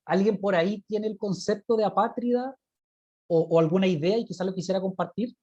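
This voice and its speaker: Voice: mid-range voice, brisk, warm tone. A lecturer with a Chilean accent presenting informally from slides over a video call, speaking hesitantly and often seeking agreement with little tag questions. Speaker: guest lecturer. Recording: muffled lo-fi video call audio.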